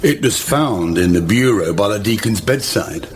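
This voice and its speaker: An actor playing a severe church minister in a period radio drama, interrogating in a stern, formal manner. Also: male voice, on the low side, lively intonation, moderate pace, a little hiss or hum, natural voice. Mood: stern questioning.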